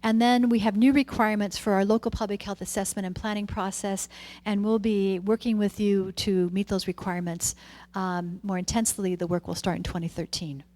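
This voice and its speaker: A woman's voice: medium pitch, level tone, a little fast, a little mellow. A woman, a public health official presenting plans to a city committee in an informal way, walking through her slides.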